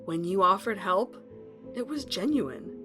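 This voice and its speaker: A woman's voice: mid-range voice, expressive, unhurried, over music, close to an everyday voice. A woman playing a determined academic searching for her missing husband in an earnest audio drama. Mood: reflective. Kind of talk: audio drama acting and narration.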